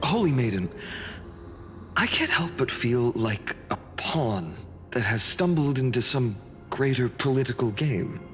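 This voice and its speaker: An earnest, humble young soldier with a mid-pitched and very expressive voice. He sounds questioning and a little wry, in a reverberant hall with faint music. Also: unhurried. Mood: uncertain.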